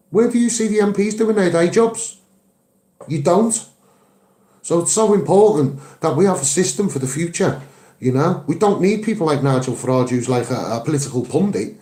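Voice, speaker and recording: A Scouse man speaks as a campaigner in a roomy, echoing space. His voice sits a little high for a man but is soft-edged and mellow. He is earnest and emphatic, often repeating phrases to drive them home. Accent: Liverpool (Scouse). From Liverpool, England. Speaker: activist and online video maker. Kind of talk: impassioned campaigning monologue to camera.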